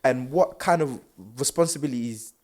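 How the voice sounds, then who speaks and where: A man's voice: mid-range voice, a little mellow. Young man, mid-range voice, thoughtful co-host who stutters and halts often. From South London, England. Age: young adult. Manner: thoughtful, halting, stutters, many fillers. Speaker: podcast co-host. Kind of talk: casual chat.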